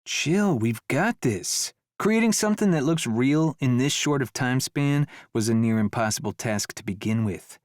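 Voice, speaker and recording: A man's voice: mid-range voice, animated, moderate pace, few pauses, no echo, clean studio sound, lightly acted. A male actor playing an easygoing, self-assured prop maker with a paranoid streak in a full-cast audiobook. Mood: breezy, confident. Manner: laid-back, self-assured.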